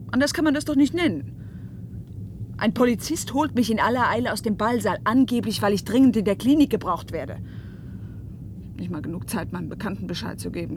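Eine Schauspielerin spielt im Hörspiel eine empörte, scharfzüngige Frau, die beharrlich und sarkastisch Antworten fordert. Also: female voice, natural voice. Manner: insistent, sarcastic, formal. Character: indignant, sharp-tongued woman demanding answers about her abduction. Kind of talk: German radio play acting. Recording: radio play with clear rumbling noise.

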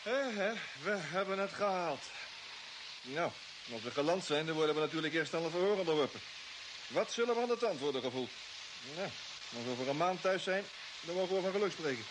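Male actor as chatty, wry space crewman, high-pitched, radio play, heavily hissy old recording. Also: lively intonation, brisk, lightly acted. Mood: relieved, wry. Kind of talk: radio drama acting. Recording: noisy old radio play, heavy hiss.

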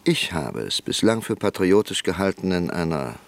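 An actor playing an old, stubborn professor and parliamentarian in a German radio play, politely defiant, with measured, formal and deliberate speech. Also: male voice, deep voice, big pitch swings, gravelly, full character voice. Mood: firm, defiant. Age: older.

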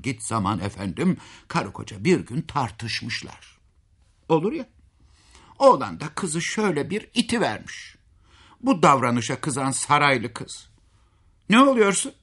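Male storyteller for folk tales: husky, highly animated pitch, dramatic and folksy, chatting to the listener. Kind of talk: expressive oral fairy-tale narration.

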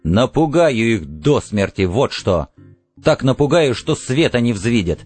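An angry man at breaking point, performed by a man in a dramatised audiobook. He is explosive and defiant, his pitch swinging widely, with faint music behind. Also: very animated, measured pace, a little mellow, breathy edge. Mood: menacing.

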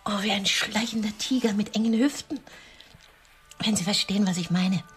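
An actress playing a nervous, coy, wounded single woman who flirts politely on a date in a radio drama, measured and sometimes breathy. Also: medium pitch, soft background music, natural voice. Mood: coy, probing.

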